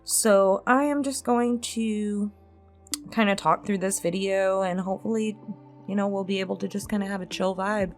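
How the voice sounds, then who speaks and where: A woman's voice: medium pitch, steady tone, normal speed, darkish tone, soft background music. A woman chatting casually and informally to her viewers as a host, thinking aloud as she goes. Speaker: host, roleplay ASMR voice actor. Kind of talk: casual chat to viewers in a pre-recorded Q&A.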